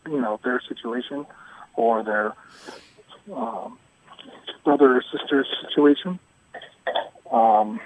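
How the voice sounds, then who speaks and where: A man answering interview questions on a recorded telephone call, candid, with halting yet emphatic phrasing. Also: mid-range voice. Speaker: union vice president, anonymous interviewee.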